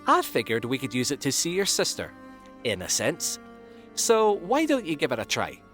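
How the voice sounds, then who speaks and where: A man's voice: a little high, wildly swooping pitch, moderate pace, a bit bright. Guy doing an upbeat cheeky young pony dude, voice bounces all over, fan audio drama w/ music behind. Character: excitable young adult male pegasus pony, cheerful nature-lover. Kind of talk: acted dialogue in a fan-made audio drama.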